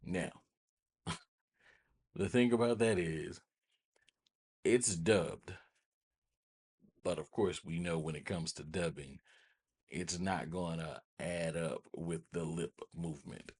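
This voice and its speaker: Super deep raspy guy doing a casual screen share tutorial, kinda talks to himself, repeats stuff. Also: slow, pauses often, darkish tone. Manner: informal, thinking aloud, repeats phrases. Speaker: tutorial host.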